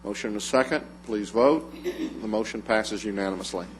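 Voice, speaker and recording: A man's voice: fairly low pitch, slight background noise, low-quality recording. Man chairing a county meeting. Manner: measured, formal reading cadence. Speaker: county judge chairing the court. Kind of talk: formal meeting procedure and proclamation reading.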